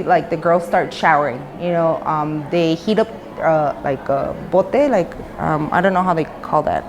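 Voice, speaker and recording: A woman's voice: lowish voice, a little mellow, slight background noise. A woman in her thirties, born in Mexico and raised in the United States, talking openly in an interview with lots of fillers and restarts. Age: 30s. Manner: loose and talkative, many fillers and restarts. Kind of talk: candid personal interview. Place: women's prison in Mexico.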